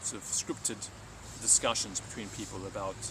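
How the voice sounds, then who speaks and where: A man's voice: fairly low pitch, steady tone, normal speed, recorded from a distance, background noise. A man speaking as a teacher hosting a live stream, reading aloud and talking informally.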